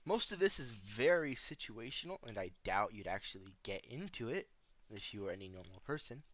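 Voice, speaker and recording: A man's voice: lowish voice, very animated, brisk, fairly bright tone, no room sound. A man giving casual, joking video commentary in a sarcastic, flippant, slangy way, full of offhand asides. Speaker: video host reacting to analog horror.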